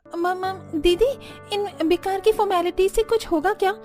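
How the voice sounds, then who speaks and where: A woman's voice: extremely high pitch, fairly even intonation, moderate pace, darkish tone, faint music behind, voice pushed up. A woman voicing a spoiled, irritable younger sister and new bride in a cartoon story, whiny and defiant. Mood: defiant, dismissive. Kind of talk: Hindi cartoon story acting.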